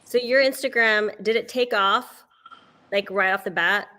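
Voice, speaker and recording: A woman's voice: medium pitch, a little slow, bright, forceful tone, a little hiss or hum. A woman hosting a live interview, encouraging her guest and agreeing often. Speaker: host.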